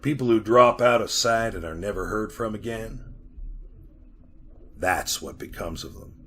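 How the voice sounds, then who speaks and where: An actor playing a sinister, haunted survivor who serves an underground power, telling his tale deliberately in a retro radio drama. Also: fairly low pitch, fairly bright tone, husky, exaggerated voice. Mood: ominous, insistent. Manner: ominous, deliberate storytelling.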